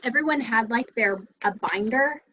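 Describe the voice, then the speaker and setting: A woman's voice: fairly high pitch, normal speed, sharp tone, slight background noise. A woman in her thirties chatting casually as she helps run a group video call. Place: indoor room on a video call. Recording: dull, lo-fi video call audio.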